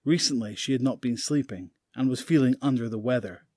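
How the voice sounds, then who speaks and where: A man's voice: mid-range voice, mellow, no room sound. Dry, grave male narrator. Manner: measured, grave storytelling. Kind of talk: scripted narration.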